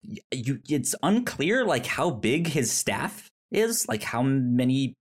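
Male podcast co-host, slow talker, stammering and repetitive delivery, casual conversational style. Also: mid-range voice, big pitch swings, husky. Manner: halting, stammers and repeats syllables. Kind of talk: casual film discussion chat.

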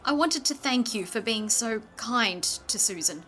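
A woman's voice: fairly high pitch, animated, a little slow, a bit bright, a little hiss or hum. An actress playing a practical, no-nonsense mother in a radio drama, polite but guarded. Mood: brisk, polite. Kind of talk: old-time radio drama acting.

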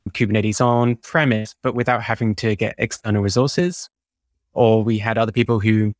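A man's voice: on the low side, no room sound, studio quality. A man with a British accent, explaining technical work informally as a podcast guest. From United Kingdom. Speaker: podcast guest, DevOps engineer.

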